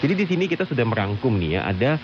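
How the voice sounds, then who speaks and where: A man's voice: on the low side, very animated, racing, muffled recording. A man co-hosting a conversational radio show and giving tips in an agreeable way, over shortwave radio. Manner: agreeable, frequent affirmations. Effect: shortwave radio reception. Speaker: radio co-host. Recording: very noisy shortwave radio broadcast.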